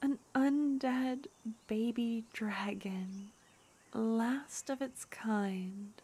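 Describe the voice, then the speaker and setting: A woman voicing a tender young necromancer girlfriend, talking in a soft whisper in a fantasy ASMR roleplay. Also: a little high, drawn-out words, a little hiss or hum. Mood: delighted.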